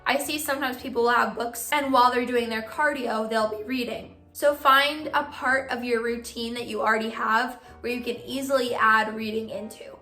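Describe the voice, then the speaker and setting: A young woman vlogging casually to her viewers, giving friendly reading tips, her voice a bit hoarse from losing it. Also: fairly high pitch, steady tone, normal speed, hardly any pauses, bright, forceful tone, roomy sound, soft background music. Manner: friendly advice-giving, filler "like". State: partly lost voice, hoarse. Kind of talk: casual vlog talk to camera.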